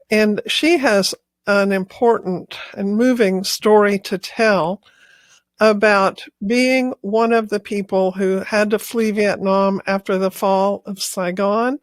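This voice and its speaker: A woman's voice: medium pitch, dry recording. Woman podcast host, dry close voice. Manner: friendly, scripted introduction then questions. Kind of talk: podcast interview hosting.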